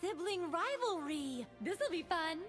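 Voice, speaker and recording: A young mage heroine from a video game, very high in pitch and animated. She sounds earnest and optimistic, with a teasing sarcastic streak. Music plays behind her. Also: takes it slowly, exaggerated voice. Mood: cheeky, teasing. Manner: bright-eyed earnest, playfully sarcastic. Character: optimistic, cheeky young female mage of light, lighthearted heroine. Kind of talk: video game character voice lines.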